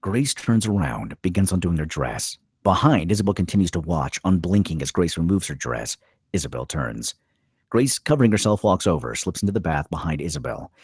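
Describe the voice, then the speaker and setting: A man's voice: sits low, very animated, a little fast, darkish tone, slightly rough, no echo, studio quality. A man reading stage directions as the narrator of a screenplay table read.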